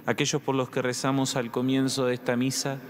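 Young Argentine priest, reverberant church. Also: male voice, medium pitch, completely flat delivery, fairly quick. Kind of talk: liturgical prayer and instructions. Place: large church.

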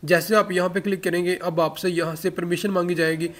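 A man's voice: sits high, fairly even intonation, brisk, a bit bright. A man hosting a tech tutorial, explaining an app step by step and addressing viewers directly in an instructional way.

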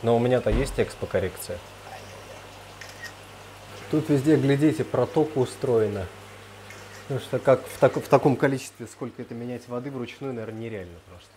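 A man's voice: mid-range voice, fairly quick, long pauses, mellow, rough recording. A man giving casual, off-the-cuff commentary as a vlogger touring an indoor aquarium room. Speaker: vlogger filming a tour of private aquariums. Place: indoor room full of large fish tanks. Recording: faint water and room noise.

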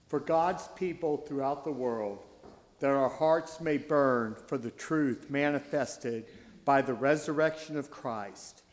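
An older man reading the prayers of the faithful at a church lectern mic, slow and deliberate, with pauses. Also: mid-range voice. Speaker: deacon reading intercessions. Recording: reverberant church hall.

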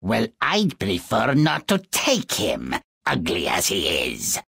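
An actor voicing a cruel, bargaining imp in a video game, raspy and theatrical. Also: medium pitch, wildly swooping pitch, takes it slowly, fairly bright tone, no echo, studio quality, full character voice. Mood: sneering.

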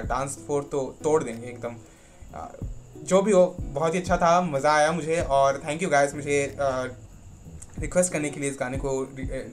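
An excitable young man rambles through his thoughts, stumbling and correcting his words as he goes. His voice sits a little high for a man. Faint music plays behind him as he talks to a webcam in a small indoor room. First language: Bengali. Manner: excited, chatty, rambling, self-correcting in halting Hindi. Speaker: YouTube reaction channel host. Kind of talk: casual music reaction vlog.

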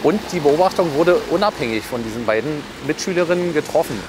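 A man's voice: a little high, lively intonation, quick pace. A police detective, a man, giving a matter-of-fact interview account in formal wording, with background noise.

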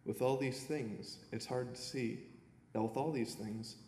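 A man's voice: medium pitch, steady tone, a little slow, darkish tone. A young man speaking at a podium as a poet, presenting his own work in an informal, slightly hesitant way. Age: young adult. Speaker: poet reading own poems. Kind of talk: live poetry reading with informal introductions. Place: indoor auditorium at a podium. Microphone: gooseneck podium microphone. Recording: echoey auditorium, long reverb, background noise.

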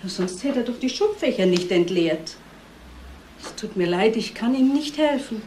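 An actress playing a reserved, matter-of-fact niece questioned by police in a German crime radio play. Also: female voice, fairly high pitch, animated, moderate pace, mellow, slight background noise, natural voice. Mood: regretful.

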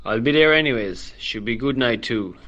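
A man's voice: mid-range voice, animated, moderate pace, sharp tone, muffled recording. A man playing a laconic, wisecracking Irish village hall caretaker in an amateur radio drama. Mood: wry. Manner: laconic, joking. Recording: noticeable background noise.

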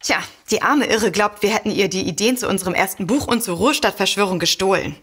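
A woman's voice: mid-range voice, fast talker, fairly bright tone, husky. An actress playing a chatty, mildly scornful young woman author in a comedy mystery audio drama. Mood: scornful, relieved.

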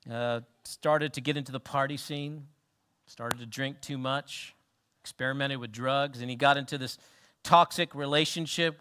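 Middle-aged New man, pastor at a church lectern; bright, slightly high voice, earnest sermon delivery with soft dips and emphatic peaks. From New Zealand. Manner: earnest, swings between soft lows and emphatic rises. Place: church hall with wooden wall.

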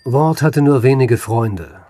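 An actor playing a grave, melancholic doctor with a measured, understated delivery in a German radio play. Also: male voice, medium pitch, expressive, noisy background. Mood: grave, reflective. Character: grave, melancholic family doctor recounting a patient's fate.

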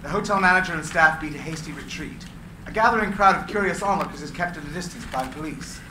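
A man narrating stage directions at a live screenplay reading. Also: on the high side. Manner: brisk, matter-of-fact. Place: gallery room with seated audience. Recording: echoey room, clear hiss.

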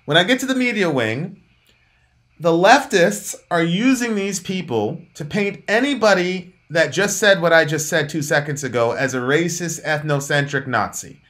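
A man in his thirties, originally from Jamaica, co-hosting and arguing politics heatedly over a video call from a dark room at home, talking emphatically and gesturing. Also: a little high, expressive, normal speed. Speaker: co-host.

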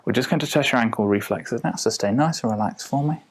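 A man's voice: medium pitch, fast, a little hiss or hum. A man working as a clinician, guiding a patient through reflex tests with short, simple instructions. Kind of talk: instructional clinical exam talk.

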